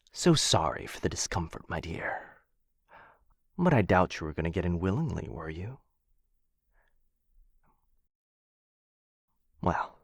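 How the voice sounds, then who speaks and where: A man's voice: deep voice, full character voice. A man playing a possessive, courteous dragon captor who dotes on a human pet in a boyfriend ASMR fantasy roleplay, with a coaxing, condescendingly affectionate manner. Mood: coaxing, controlling. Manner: mock-polite, coaxing, theatrical, condescendingly affectionate.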